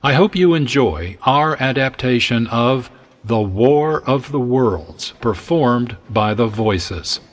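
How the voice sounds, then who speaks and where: A man hosting a radio program, reading a scripted introduction with slow, measured and deliberate phrasing. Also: medium pitch, expressive.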